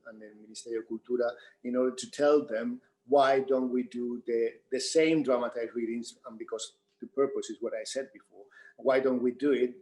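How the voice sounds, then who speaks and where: Spanish guy, native spanish speaker, theatre director doing a q&a, normal pitch, kinda halting and repeats himself. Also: a little mellow, poor audio. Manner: halting, repetitive phrasing. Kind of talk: unscripted panel Q&A talk.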